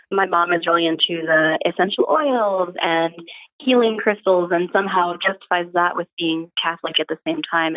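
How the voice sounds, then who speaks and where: A woman's voice: on the low side, no echo. A young woman telling personal stories casually as a podcast guest, conversational and matter-of-fact, over a remote call with narrow phone-like audio. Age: young adult.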